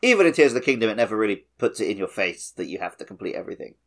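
Lively man chatting on a gaming podcast. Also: on the low side, rapid-fire. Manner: jokey, drops film quotes. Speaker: podcast host and editor. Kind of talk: casual gaming podcast chat.

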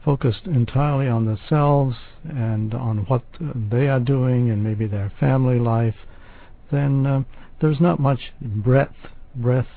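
A man on a discussion panel, speaking slowly and hesitantly with many fillers. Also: mid-range voice, fairly even intonation. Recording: tinny phone-like sound.

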